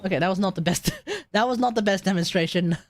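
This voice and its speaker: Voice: mid-range voice, fast talker, over music. A streamer with an Australian accent giving casual, rambling, jokey live commentary on a game. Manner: rambling, jokey, easily amused.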